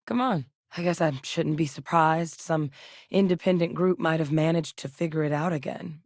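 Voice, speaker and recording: An actress voicing a composed female scientist-soldier companion in a video game, speaking in a measured way. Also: low voice, dry recording. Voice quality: measured and composed, with sudden urgent shouts in combat. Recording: dry studio recording.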